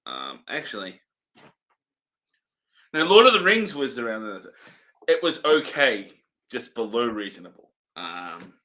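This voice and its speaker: A man co-hosting a relaxed movie review show, with a bright, forward voice that rises and falls a lot. He muses and hedges through his points, pausing to correct himself. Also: medium pitch. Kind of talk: casual review chat.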